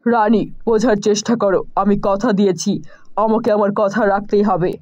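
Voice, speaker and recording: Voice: mid-range voice, steady tone, normal speed, darkish tone, dry recording, dull audio, close to an everyday voice. An actor playing an anxious, honour-bound king in a fairy-tale cartoon, speaking earnestly and pleadingly. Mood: resigned, persuading.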